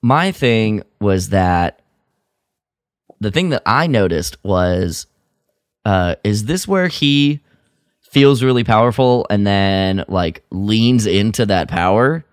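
Slow-talking man, low clear voice. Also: animated, dry recording, clean studio sound. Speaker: podcast co-host. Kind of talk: casual chat recapping a story.

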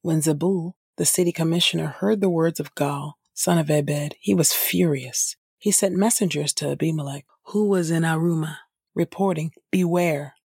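A woman reading scripture aloud for an audio Bible podcast in a measured, formal manner. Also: low voice, vocal fry, a little mellow, breathy edge, no echo, studio quality. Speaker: scripture reader and podcast host.

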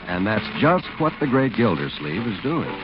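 Male 1940s radio announcer, lively sweeping intonation for sponsor copy and scene-setting, lo-fi sound. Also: on the low side, normal speed. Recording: dull, lo-fi 1940s radio recording. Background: music.

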